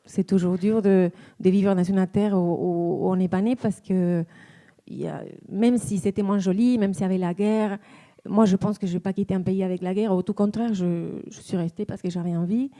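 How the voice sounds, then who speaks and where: A woman from Argentina in her forties with an Argentine accent, a tango dancer and teacher, speaking in a thoughtful, halting way as she searches for words. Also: medium pitch, fairly even intonation, dark tone. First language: Spanish. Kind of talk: personal reflective talk.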